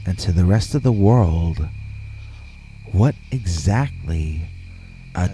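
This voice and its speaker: A man reading a scripted first-person scary story in a measured, deliberate way, with pauses between phrases. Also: low voice, lively intonation, dark tone, faint music behind. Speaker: horror story narrator.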